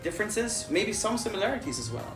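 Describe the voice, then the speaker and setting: Chatty lively woman host. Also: medium pitch, quick pace, reverberant, far from the mic, over music. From Germany and Greece. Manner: lively, chatty, laughs often. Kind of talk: casual group chat.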